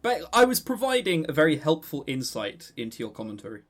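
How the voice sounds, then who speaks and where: Excitable guy on a podcast, kinda high voice, rambles and trips over words, really up and down. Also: a little fast. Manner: excitable, rambling, stammering repeats. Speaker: podcast co-host. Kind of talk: casual, joking banter.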